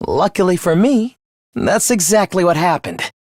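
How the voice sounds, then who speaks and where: A man's voice: high voice, very animated, moderate pace, dry recording, studio quality. A man playing a young student in a video game, earnest on the surface and a cold, misanthropic killer beneath, theatrical and articulate. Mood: cold, calm confession. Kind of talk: dramatic anime-style video game voice acting.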